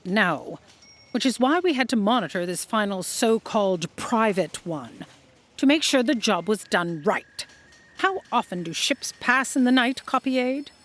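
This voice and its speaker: A woman's voice: a little high, lively intonation, measured pace, a bit bright. A woman playing an imperious, scornful chief editor, bossy and pompous, in a comic sci-fi audio drama. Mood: haughty, dismissive.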